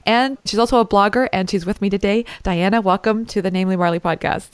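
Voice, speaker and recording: Quick, chatty woman podcast host. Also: medium pitch, clean tone, no echo. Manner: friendly, enthusiastic, chatty. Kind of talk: podcast interview.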